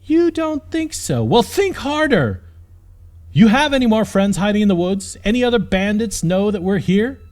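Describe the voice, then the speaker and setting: A man's voice: sits high, very animated, normal speed, darkish tone, pitched above the performer's own voice. A man voicing a determined young woman escaped from captivity in an audiobook, commanding and sardonic. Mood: threatening, commanding. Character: frazzled, fierce, determined young woman prisoner.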